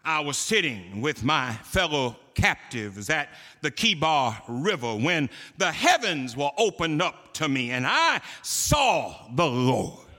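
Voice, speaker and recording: A man's voice: a little high, bright, forceful tone, big-room sound. A man preaching a sermon to his church, building emphasis through repeated phrases. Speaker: pastor.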